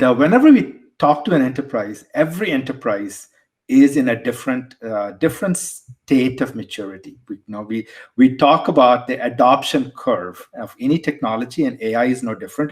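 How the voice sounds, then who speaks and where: A man with an Indian accent, a guest on an interview podcast, explaining things conversationally and often repeating words as he restarts. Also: medium pitch. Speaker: guest, tech executive.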